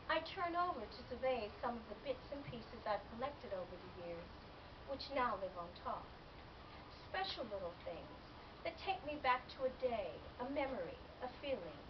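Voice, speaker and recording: Noisy, distant recording of a woman reading. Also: a little high. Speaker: author reading own novel excerpt. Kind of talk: scripted literary narration, first person. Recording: dull lo-fi, distant mic, loud background noise.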